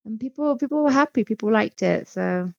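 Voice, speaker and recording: A woman's voice: on the high side, expressive, brisk, gravelly, darkish tone, no echo, lo-fi sound. A woman speaking as an interview guest about her own work in a chatty, informal, self-deprecating way. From outside Mexico, not American. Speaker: interview guest, small restaurant and shop owner. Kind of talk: conversational interview.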